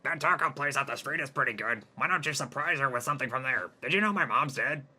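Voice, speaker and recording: A man's voice: medium pitch, expressive, fast talker, sharp tone, husky, pitched above the performer's own voice, full character voice. A man voicing an odd alien coworker who chirpily blurts non sequiturs. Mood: chipper.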